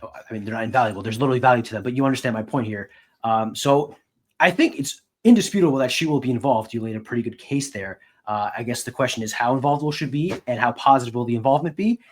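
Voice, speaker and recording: A man co-hosting a sports podcast, giving casual commentary in a measured, wry way. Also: mid-range voice.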